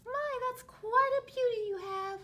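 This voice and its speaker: A woman's voice: very high-pitched, unhurried, noisy background, voice pushed up. A woman voicing a small, friendly, playful female blue bird with a little voice, in a storybook. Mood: admiring.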